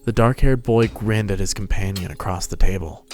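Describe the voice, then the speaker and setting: A man's voice: on the low side, normal speed, darkish tone, soft background music. A man narrating a story as a dramatic scripted reading. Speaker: narrator, fan fiction reader.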